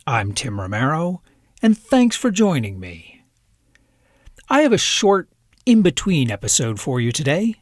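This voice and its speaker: A man's voice: fairly high pitch, wildly swooping pitch, a little slow, long pauses, no echo, studio quality. A man in his fifties who hosts a podcast and moderates a panel on stage at a conference, upbeat and talkative, now and then repeating words as he starts.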